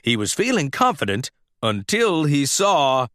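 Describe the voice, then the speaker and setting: Male storybook narrator for children, higher-pitched, very expressive, unhurried, close dry sound. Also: no echo. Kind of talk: animated scripted read-aloud narration for children.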